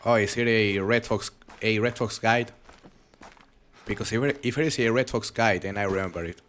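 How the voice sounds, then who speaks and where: A man's voice: on the low side, moderate pace, a little hiss or hum. A young man with a foreign accent, live-streaming a hunting game and chatting casually with his viewers. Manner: relaxed, chatty, rambling with frequent restarts. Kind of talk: live game stream commentary reading chat.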